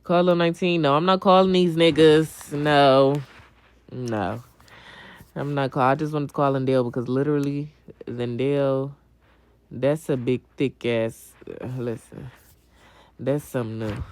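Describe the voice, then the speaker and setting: A woman's voice: a bass voice, steady tone, measured pace, a little mellow, dry recording. A young adult woman chatting casually on a livestream as she answers viewers, blunt, slangy and full of swearing, often repeating a phrase for emphasis. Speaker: livestream host.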